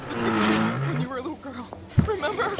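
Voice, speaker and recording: A woman's voice: low voice, wildly swooping pitch, fast, heavily acted. An actress playing a desperate, imploring woman in a dramatic video game scene, pleading urgently. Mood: anxious pleading. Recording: dramatic music behind the voice.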